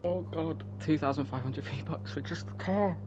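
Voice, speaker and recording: A man's voice: fairly high pitch, moderate pace, dull audio. A man chatting casually and unscripted, rambling enthusiastically with some swearing. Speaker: co-host. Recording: clearly noisy background, home-made recording.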